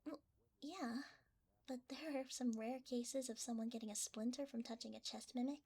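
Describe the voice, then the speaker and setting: A woman's voice: on the high side, steady tone, normal speed, warm tone, breathy edge. A woman voicing a shy, cuddly shapeshifting creature in an ASMR roleplay, hesitant and easily flustered, often half-whispering. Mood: flustered, gentle.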